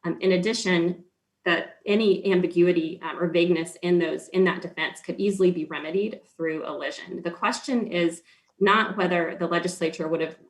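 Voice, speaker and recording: A man arguing for the state before an appeals court, formal and measured, with frequent ums and small restarts. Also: high-pitched, fairly even intonation, rough recording. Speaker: state's attorney. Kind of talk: appellate oral argument.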